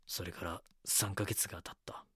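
A man's voice: low-pitched, flat delivery, normal speed, a bit bright, breathy edge, dry recording, studio quality, full character voice. A man playing a brooding reporter who narrates an audio drama in the first person, somber and reflective. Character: brooding male reporter narrating his regretful recollection.

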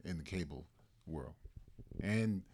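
A man with a low voice answers on a panel with emphasis. He circles back to repeat his main point. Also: takes it slowly, a little mellow, a little hiss or hum. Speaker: panelist on documentaries. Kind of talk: panel discussion.

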